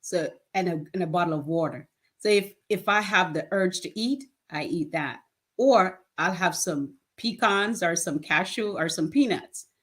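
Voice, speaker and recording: Low-pitched woman in her fifties. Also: moderate pace, breathy edge. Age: 50s. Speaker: guest, children's charity founder. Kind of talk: conversational interview answers.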